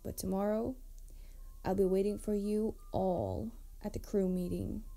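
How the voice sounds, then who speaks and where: A woman playing a flirtatious, tender pirate captain in an intimate ASMR roleplay, speaking softly and often close to a whisper. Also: medium pitch, steady tone, soft background music, close to an everyday voice. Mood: amused, teasing. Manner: soft, breathy, often near whisper, unhurried. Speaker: audio roleplay performer.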